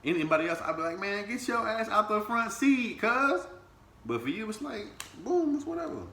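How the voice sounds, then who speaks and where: A man in his thirties, co-hosting a podcast in casual chat with his partner, animated and jumping up high in pitch when he teases. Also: moderate pace, vocal fry, echoey room. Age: 30s. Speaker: podcast co-host.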